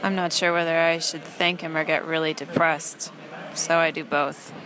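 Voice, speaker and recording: A woman playing a sardonic young fast-food trainee who narrates an audio play in a dry, deadpan way. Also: sits low, crowd noise, lightly acted, rough recording. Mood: dry, unimpressed.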